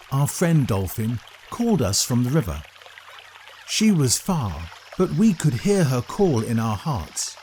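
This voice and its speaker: A man narrating a scripted children's story in a deliberate, expressive storytelling manner. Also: a little high, slight background noise.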